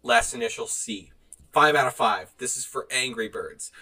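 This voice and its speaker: A man co-hosting a podcast in casual chat, animated and quick to laugh. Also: mid-range voice, vocal fry. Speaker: podcast co-host. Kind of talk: casual chat, reading out online reviews.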